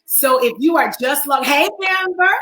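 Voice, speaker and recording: A woman with a raspy voice hosts a livestream talk show. She greets viewers by name and laughs often. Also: high voice, moderate pace, sharp tone, reverberant, low-quality recording. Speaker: online talk show host. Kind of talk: welcoming livestream chat.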